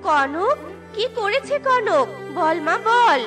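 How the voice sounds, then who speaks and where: A caring, brave mother bird from a cartoon played by a woman. Her voice is extremely high, with an earnest, urgent delivery. Music sits clearly behind it. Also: sing-song, takes it slowly, full character voice. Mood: worried. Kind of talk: Bengali cartoon voice acting.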